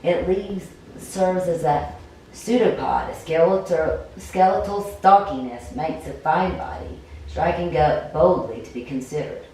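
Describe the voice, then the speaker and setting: A woman with a low voice reads fantasy narration at sight, slightly halting. She sounds set back from the mic in a strongly echoing room with faint noise. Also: dark tone, far from the mic. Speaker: player reading a story game. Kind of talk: cold read of scripted fantasy narration.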